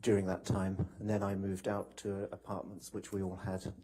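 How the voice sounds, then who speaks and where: Male witness, low-pitched and mellow, polite and hesitant, flat intonation, for courtroom testimony. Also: normal speed, slight background noise. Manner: polite, hesitant, broken phrasing. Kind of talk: courtroom testimony, recounting from memory.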